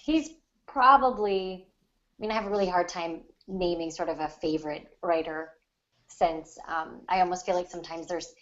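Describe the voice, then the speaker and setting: An American woman from the Ozarks in a casual book discussion as a co-host, often pausing and rephrasing mid-thought. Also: fairly low pitch, a bit bright, muffled recording. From the Ozarks, United States. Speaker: podcast co-host.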